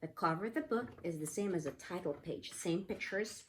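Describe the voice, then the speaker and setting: A woman's voice: fairly low pitch, fairly quick, very noisy. A woman teaching young children in a read-aloud lesson, explaining simply and prompting with repeated questions. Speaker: pre-K teacher.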